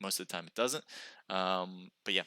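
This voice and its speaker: A man casting live mobile esports matches, calling fights with quick excitement and chatting with viewers between games. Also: low voice, dry recording, studio quality. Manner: excited calls during action, chatty when reading chat. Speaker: esports caster and stream host. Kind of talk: live play-by-play commentary.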